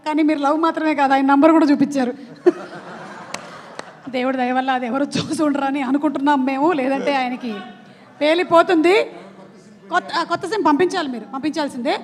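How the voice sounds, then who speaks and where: A woman's voice: high-pitched, fast talker, long pauses. A woman hosting a film event on stage in a playful, prompting manner. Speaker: event host. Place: large event venue, amplified stage. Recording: hall PA with long reverb.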